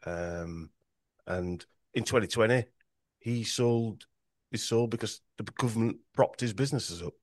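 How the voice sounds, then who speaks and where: A man's voice: on the low side, measured pace, dry recording. A man with a Yorkshire accent talking in a podcast conversation, rambling and restarting his sentences often. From Yorkshire, England. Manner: rambling with frequent restarts and stumbles.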